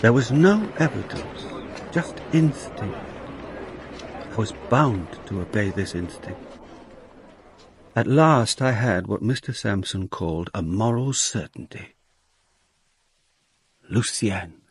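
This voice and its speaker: An actor playing a dogged, dry police inspector in a radio crime drama, curt in questioning and measured in narration. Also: male voice, fairly low pitch, expressive, a little hiss or hum. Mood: wry, reflective.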